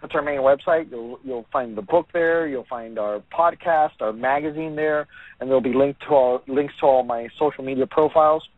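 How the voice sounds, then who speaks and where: An upbeat, energetic American man speaking conversationally as a guest in a radio interview. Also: medium pitch, moderate pace, no room sound, narrow, tinny audio. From Miami, United States. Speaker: entrepreneur and author, show guest.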